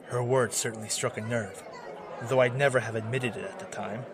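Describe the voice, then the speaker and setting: A man's voice: mid-range voice, steady tone, brisk, crowd noise, lightly acted. A man playing a jaded, self-doubting male werewolf knight turned drifter in a fantasy audio drama, with a weary, brooding manner. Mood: brooding, reflective.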